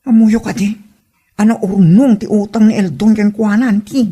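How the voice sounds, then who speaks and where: A woman's voice: medium pitch, fairly even intonation, moderate pace, warm tone, slightly rough, lightly acted. A woman with an Ilocano Filipino accent playing a nosy, blunt gossiping friend in a comedy audio drama.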